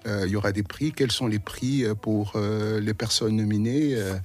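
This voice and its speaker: A man's voice: fairly low pitch, moderate pace, a little mellow, faint music behind. A male radio host asking long, searching questions in an interview. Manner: wordy, searching for words.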